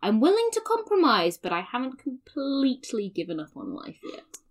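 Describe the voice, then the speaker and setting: A woman's voice: high-pitched, huge pitch swings, normal speed, heavily acted. A woman playing a bubbly, teasing, glamorous young British woman in an audio drama, with playful dramatic flourishes. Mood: cheerfully insistent. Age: young adult. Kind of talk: naturalistic full-cast audio drama dialogue.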